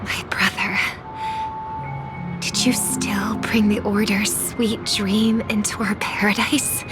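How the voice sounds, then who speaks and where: A woman's voice: mid-range voice, flat delivery, slow, natural voice. An actress playing a composed, resolute young woman in a video game, speaking with poised, earnest firmness. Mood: dazed, sorrowful. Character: composed, resolute young female festival host confronting a villain. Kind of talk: video game character acting. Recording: music behind the voice.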